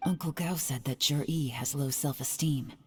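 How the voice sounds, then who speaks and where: An actress playing a composed, shrewd female spy in a game cutscene, speaking calmly and in a businesslike way. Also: normal speed, faint music behind, natural voice. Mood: cool. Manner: calm, low and businesslike.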